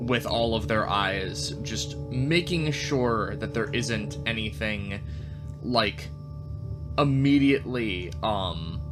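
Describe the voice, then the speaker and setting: A man casually describing his character's moves as a tabletop game player, in halting, pieced-together phrases. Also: fairly low pitch.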